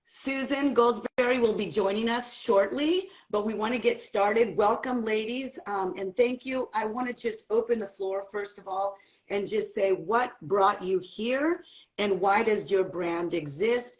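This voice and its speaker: A woman's voice: medium pitch, a little slow, rough recording. A woman hosting a live video-call panel, welcoming guests in an upbeat way. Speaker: panel host, company founder.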